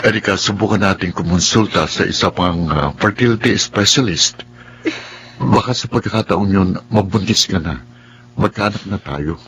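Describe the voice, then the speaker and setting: A man acting a patient, devout, hopeful Filipino husband in a radio drama, gently pleading. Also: deep voice, normal speed. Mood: earnest, hopeful.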